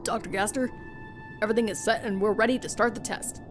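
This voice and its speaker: A woman's voice: mid-range voice, fairly even intonation, fast, over music, close to an everyday voice. A woman voicing a dutiful, businesslike lab assistant in a comic dub, reporting with deference. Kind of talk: fan comic dub voice acting.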